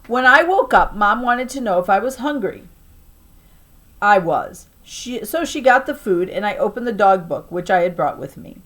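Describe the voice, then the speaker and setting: A woman teacher reading a children's novel aloud to a class with expressive, emphatic and deliberate storytelling delivery. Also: medium pitch.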